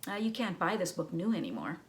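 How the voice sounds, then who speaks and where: A woman's voice: lowish voice, very animated, brisk, a little hiss or hum. A woman giving an educational talk to children in a teacherly way, with simple explanations and rhetorical questions. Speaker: children's librarian and storyteller.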